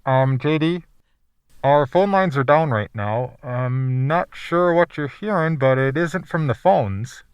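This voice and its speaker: An actor playing an anxious radio producer, hesitant and uneasy, with an urgent edge. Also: male voice, medium pitch, lively intonation, takes it slowly, clean tone, dry recording, muffled recording. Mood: worried. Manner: hesitant, worried.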